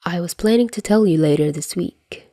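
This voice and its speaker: Female reader as calm man, lowish voice, matter-of-fact, gently amused, dry sound, story character. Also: moderate pace, no room sound, lightly acted. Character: calm, amused, unhurried man, older mafioso.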